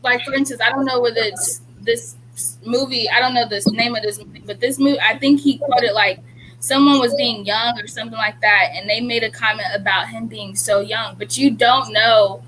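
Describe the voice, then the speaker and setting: A woman's voice: a little high, steady tone, moderate pace, a bit bright, a little hiss or hum, rough recording. A woman in her twenties chatting casually, hesitant and leaning on fillers as she makes her point. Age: 20s. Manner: hesitant, full of fillers and restarts. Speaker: viewing-party panelist. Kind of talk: casual group chat.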